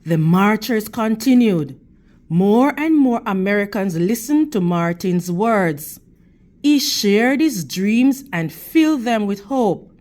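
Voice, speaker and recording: A woman reads a children's picture book aloud at an unhurried, slow pace. Her voice sits at a middle pitch, and she phrases things deliberately, as a teacher does when addressing young listeners. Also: animated, darkish tone.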